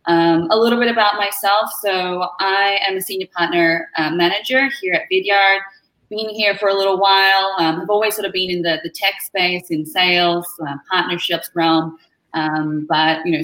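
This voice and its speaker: A woman's voice: fairly low pitch, a little fast, a bit bright, low-quality recording. A woman with an Australian accent, chatting as an upbeat guest on an interview show, with lots of fillers. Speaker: guest, partner manager. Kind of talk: casual interview chat.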